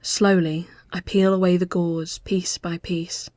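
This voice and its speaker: Slow-paced woman narrator, dry close sound. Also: lowish voice, flat delivery, a little mellow, no room sound. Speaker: narrator reading a short story. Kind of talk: scripted literary narration, ghost story.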